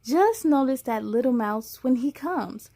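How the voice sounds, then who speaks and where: A woman reading aloud voices a kind, calm grandmother who speaks gently and soothingly. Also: high-pitched, a little slow, creaky, dry recording, full character voice. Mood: gentle.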